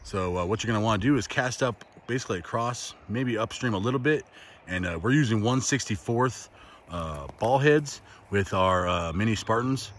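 Excited man filming an outdoor fishing vlog. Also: lowish voice, normal speed, a little hiss or hum. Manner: excited, addresses viewers as guys. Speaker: fishing channel host. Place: outdoors by a river.

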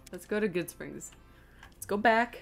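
A woman gives casual live gameplay commentary in a mid-range voice. She rambles, thinks out loud and pokes fun at herself, with game music faintly behind her. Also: medium pitch, expressive, measured pace, gravelly. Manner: self-deprecating, rambling, thinking aloud. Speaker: game streamer.

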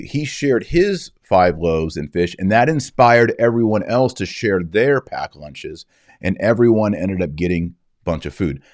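Low-pitched man, deliberate religious teaching. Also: darkish tone, no room sound. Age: 40s. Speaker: podcast host reading and explaining scripture. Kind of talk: scripture reading and religious commentary.

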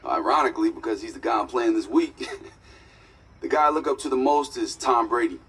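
A man's voice: medium pitch, lively intonation, a little fast, fairly bright tone, slightly rough, distant mic. A man playing a sincere, grateful young pro quarterback in a dramatic sports game. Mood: earnest. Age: young adult. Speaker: quarterback character in a story mode. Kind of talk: naturalistic dramatic game acting.

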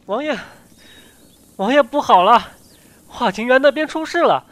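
Panicked palace servant, played by a man, extremely high voice, agitated and stammering, costume radio drama. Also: expressive, normal speed, fairly bright tone. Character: panicked household servant of a prince.

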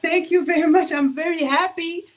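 A woman's voice: very high voice, fairly even intonation, moderate pace. A woman from Congo, whose first language is French, chatting as a guest on a video call interview and laughing often as she tells long stories. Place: home room indoors.

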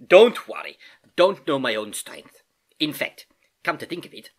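A storybook narrator voicing an elderly, jovial uncle who eagerly and patiently explains science, with animated exclamations and hesitations. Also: medium pitch, a little slow, voice pushed up. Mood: pained, sheepish. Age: older.

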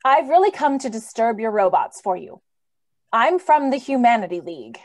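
A woman's voice: fairly high pitch, very animated, normal speed. A woman acting an earnest, idealistic young lady crusader in an old-time radio drama. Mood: earnest, challenging.

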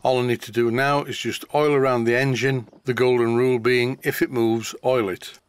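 A man's voice: medium pitch, level tone, moderate pace, smooth, no room sound. A middle-aged man from England with a Yorkshire accent, narrating his model steam engine video in a plain, explanatory way. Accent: Yorkshire English. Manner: matter-of-fact, explanatory. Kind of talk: voice-over narration of a workshop video.

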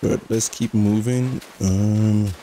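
A young man with a raspy, just-woken-up voice, giving laid-back, slangy commentary while playing a video game. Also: lowish voice, steady tone, slow pace, dark tone, slight background noise. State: just woke up. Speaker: gaming channel host. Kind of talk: casual gameplay commentary.

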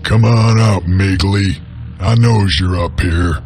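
An actor playing a gruff, terse bounty hunter drifter in a western video game, with a low gravelly growl and a folksy American Western drawl. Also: male voice, slow pace, a little mellow, faint music behind. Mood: grim, confident.

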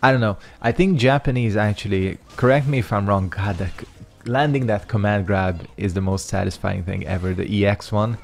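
A man's voice: on the low side, fairly quick, gravelly, hardly any pauses, soft background music. A young man whose first language is Hungarian, casually rambling over his own fighting game matches with loose slang and lots of fillers. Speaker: gaming channel host. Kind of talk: casual commentary over gameplay.

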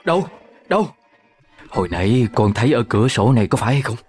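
Man as a fatherly cartoon dog explainer, mid-pitched. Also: big pitch swings, fast talker, a little mellow, faint music behind, close to an everyday voice. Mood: puzzled. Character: knowledgeable, excitable dog father in glasses. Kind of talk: children's cartoon acting.

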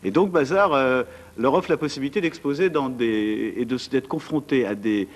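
A man's voice: mid-range voice, moderate pace. A man in his forties, a guest at a studio desk mic, talking chattily with many fillers and restarts about what he organizes. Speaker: art event organizer. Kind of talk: TV studio interview.

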